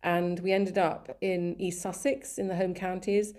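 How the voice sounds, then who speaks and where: A woman's voice: on the low side, flat delivery, measured pace, a little mellow. A woman in her fifties, a guest in an interview, telling her life story in a rambling, chatty way with many fillers and restarts. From Sri Lanka, raised in England. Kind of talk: casual conversational interview.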